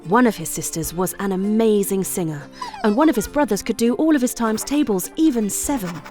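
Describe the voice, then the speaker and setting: A woman narrating a scripted children's bedtime story with expressive, deliberate phrasing. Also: medium pitch, faint music behind.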